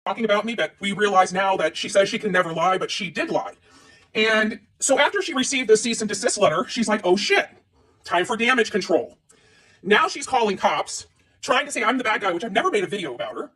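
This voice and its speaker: A woman's voice: medium pitch, expressive, fairly bright tone, breathy edge, poor audio. A woman in a played clip, venting in an aggrieved monologue. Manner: aggrieved, fast, talks at length.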